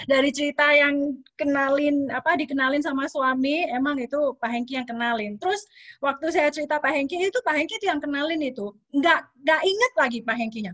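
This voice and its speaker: A woman's voice: high-pitched, bright, forceful tone, no room sound. A woman in her thirties with a Javanese accent, chatting casually as a webinar guest speaker and mixing in English words. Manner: chatty, mixes in English words, many fillers.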